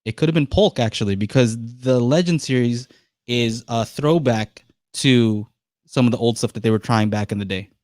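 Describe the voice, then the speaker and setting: A man's voice: medium pitch, normal speed, darkish tone, no room sound, clean studio sound. A man hosting a live call-in podcast, chatting casually and laughing easily with callers. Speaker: podcast host.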